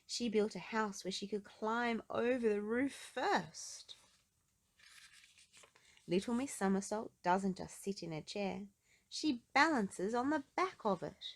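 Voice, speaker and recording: A woman with a mid-pitched voice reads a story for young children. Her delivery is expressive, in the manner of an audiobook narrator.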